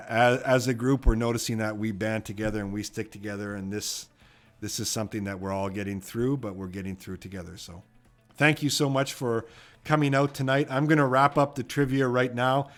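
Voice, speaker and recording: A man from Edmonton with a Canadian accent, hosting a trivia live stream in a loose, rambling way and reading out viewer comments. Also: medium pitch. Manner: chatty and rambling, many fillers and "you know what".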